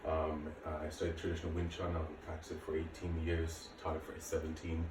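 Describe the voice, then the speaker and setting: A man's voice: extremely low pitch, completely flat delivery, brisk. A man in his late thirties giving casual, easygoing workout instruction to camera as a fitness instructor. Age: late 30s. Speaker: martial arts and fitness instructor. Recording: echoey room, distant mic, faint noise.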